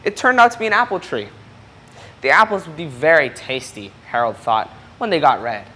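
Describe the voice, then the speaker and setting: A reader with a mid-range voice presents a children's picture book. The delivery is expressive and explanatory, turning to young listeners with questions and asides. Some noise sits behind the voice. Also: mid-range voice, moderate pace. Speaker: storybook reader.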